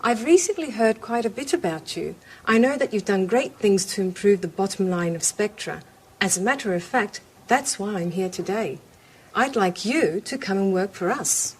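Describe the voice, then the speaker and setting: An actress playing a confident, persuasive businesswoman, formal and smoothly pushy in scripted dialogue. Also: mid-range voice, expressive, normal speed. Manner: formal, assured.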